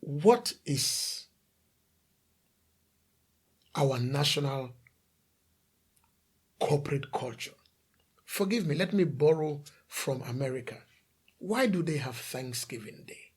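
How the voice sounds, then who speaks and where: A man's voice: fairly high pitch, husky. A man from The Gambia preaching a sermon as a pastor, emphatic, asking rhetorical questions and repeating lists of phrases.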